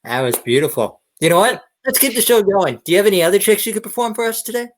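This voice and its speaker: A woman hosts an upbeat interview. She talks quickly, with an animated, varied pitch and a chatty delivery. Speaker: podcast host.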